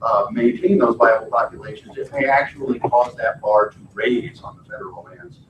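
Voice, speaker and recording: A man's voice: medium pitch, steady tone, moderate pace, background noise. A man making an insistent, legalistic comment at a public meeting. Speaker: meeting participant. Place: large conference room. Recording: echoey, distant, muffled room sound.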